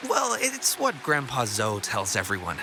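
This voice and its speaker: A man's voice: mid-range voice, huge pitch swings, a little slow, noisy background. A man playing a chatty, wry townsman in a video game, telling stories conversationally over music. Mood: casual, curious. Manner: conversational, bemused, storytelling. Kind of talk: video game character dialogue.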